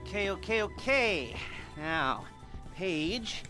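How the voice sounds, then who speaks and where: A sly, nervy middle-aged American heist planner from a video game. His voice is sharp and forward, and he rambles in halting, stammering bursts with an uneasy, creepy edge. Light music plays behind him. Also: sits high, very animated, full character voice. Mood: sly, probing. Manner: halting, rambling, stammering with frequent uhs and nervous chuckles. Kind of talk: video game cutscene acting.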